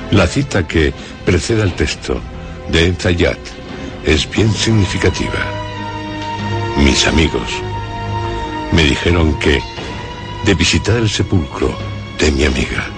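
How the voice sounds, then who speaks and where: A man with an accent from Spain, narrating scripted horror radio as a host, dramatic and suspenseful, with deliberate long pauses. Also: very deep voice. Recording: music bed under the voice. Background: music.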